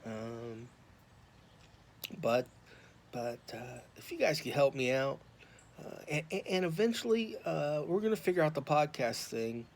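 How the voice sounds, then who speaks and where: An American man talking off the cuff to viewers, rambling and halting but earnest and informal. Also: a little high, lively intonation. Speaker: YouTube vlogger and podcaster on addiction recovery. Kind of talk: impromptu, unscripted casual monologue to viewers. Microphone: phone. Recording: clear background noise, raw phone recording.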